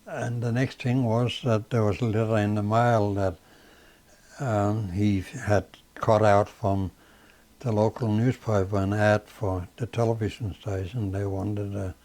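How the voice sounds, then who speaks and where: Slow, halting older Danish-accented man. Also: on the low side, fairly even intonation, darkish tone. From Denmark. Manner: slow, halting, with many fillers. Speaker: father being interviewed. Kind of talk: casual reminiscing interview.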